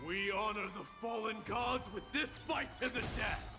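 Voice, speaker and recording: A man's voice: high voice, moderate pace, lightly acted. A man voicing a proud, defiant warrior king in a video game, speaking grandly and formally. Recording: game music under the voice.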